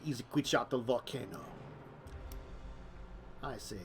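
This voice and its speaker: A man's voice: mid-range voice, slow, slight background noise. A man running a tabletop vampire game as game master, talking casually with many fillers.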